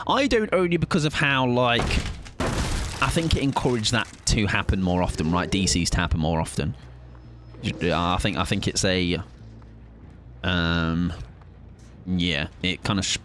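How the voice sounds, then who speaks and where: A young man streaming a game, chatting casually and playfully with viewers. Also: a little hiss or hum. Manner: chatty, playful, swings into high excited pitch. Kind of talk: casual live-stream chat while gaming.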